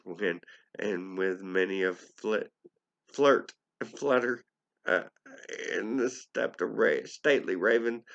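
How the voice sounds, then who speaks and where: Guy doing a dramatic poem reading, really slow and gravelly, kinda halting, edgy forceful tone. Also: lowish voice, dull audio. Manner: theatrical and halting, with stumbles and sudden swells. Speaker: amateur poetry reader. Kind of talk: dramatic poetry recitation.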